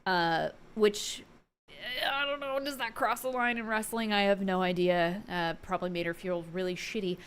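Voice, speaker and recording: A woman's voice: medium pitch, moderate pace, clear, resonant tone. A woman giving casual, enthusiastic opinion commentary as a video host, chatty and informal with emphatic delivery.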